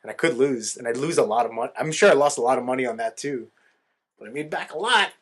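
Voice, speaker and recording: A young man co-hosting a podcast, chatting casually in an excitable, playful way. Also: medium pitch, quick pace. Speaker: podcast co-host. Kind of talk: casual chat.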